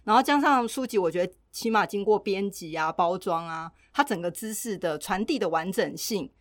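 A woman's voice: medium pitch, lively intonation, moderate pace, no echo. Taiwanese woman co-host, dry close sound. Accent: Taiwanese Mandarin. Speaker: podcast co-host. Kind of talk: casual chat.

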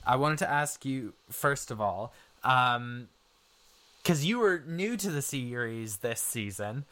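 A man interviewing a guest for a podcast in a casual, informal and somewhat halting way. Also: medium pitch, animated, bright, forceful tone. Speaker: interviewer, podcast series creator. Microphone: remote internet call interview.

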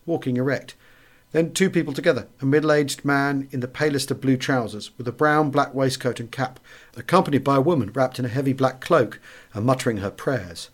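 Man reading a literary memoir. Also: medium pitch. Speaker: audiobook narrator. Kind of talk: scripted literary narration of a wartime memoir.

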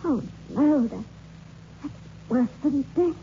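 High-voiced, distressed young woman. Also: lively intonation, full character voice. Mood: horrified. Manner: halting, tremulous. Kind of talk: 1960s radio drama acting. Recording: muffled old radio recording, faint noise.

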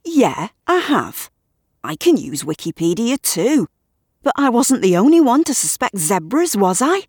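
A woman's voice: on the low side, expressive, normal speed, darkish tone, breathy edge, no room sound, studio quality. A woman playing a heated, sarcastic man. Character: agitated middle-aged man, argumentative and sarcastic.

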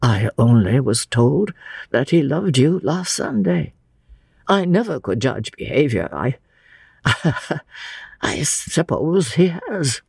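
A narrator voicing a frail, gentle, sorrowful old gentleman with a quavering, halting delivery, in a storybook reading. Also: medium pitch, very animated, creaky, breathy edge, no echo, studio quality, full character voice. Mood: gentle, pleading. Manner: slow, halting, sighing.

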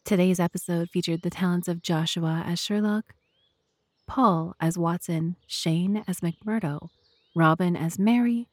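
A woman narrator with a slightly low voice reads scripted prose in an audiobook style. The recording is close and dry, without room sound. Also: measured pace, a little mellow, no echo. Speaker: narrator and host.

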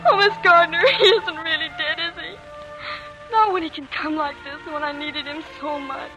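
A woman's voice: high voice, fairly even intonation, moderate pace, low-quality recording. A woman playing a grieving, tender teenage schoolgirl in tearful 1940s radio drama style. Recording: old 1940s radio broadcast, music behind.

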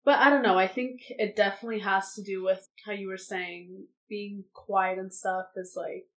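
A woman's voice: mid-range voice, fairly even intonation, normal speed. A woman in her twenties, a guest in a casual chat in a living room, talking in an unfiltered, assertive way with lots of "like". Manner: talkative, unfiltered, heavy use of "like"; assertive, loud delivery.

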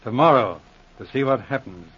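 An actor playing a confident, authoritative Mountie sergeant in a 1940s radio drama. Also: male voice, mid-range voice, lively intonation, fairly bright tone, husky. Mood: assured. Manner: authoritative, brisk, assured. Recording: muffled old radio recording with hiss.

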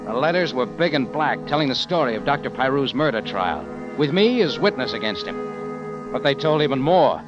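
Man playing hard-boiled American hero, wry and terse, lively intonation, muffled vintage radio recording. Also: medium pitch, moderate pace, lightly acted. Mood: wry narration. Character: tough, wry American adventurer narrating his own case. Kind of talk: 1940s radio acting, hard-boiled narration. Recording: dull old radio recording with music.

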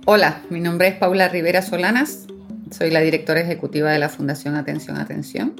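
A woman's voice: sits low, fairly even intonation, a little fast, creaky, soft background music. A middle-aged woman, born in Argentina and long settled in Puerto Rico, speaking conversationally as a podcast guest. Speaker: podcast guest, foundation executive director. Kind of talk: conversational interview account.

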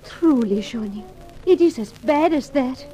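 A woman's voice: high voice, animated, unhurried, warm tone, faint music behind, low-quality recording. A woman playing an affectionate, alluring lady friend in a 1950s radio drama, tender and solicitous. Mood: sympathetic.

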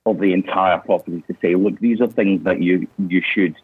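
Scottish man, very deep husky voice, tinny phone line, hesitant. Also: fairly even intonation, normal speed, no room sound. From Scotland. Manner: hesitant, many restarts and fillers. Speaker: interview guest, business owner. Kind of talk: casual interview answers. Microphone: phone call.

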